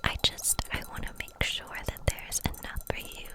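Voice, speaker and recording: A woman playing a gentle, kindly kitchen witch in an ASMR roleplay, whispering softly in a soothing, encouraging way. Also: very high-pitched, completely flat delivery, normal speed. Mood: cozy, chatty. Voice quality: breathy unvoiced whisper.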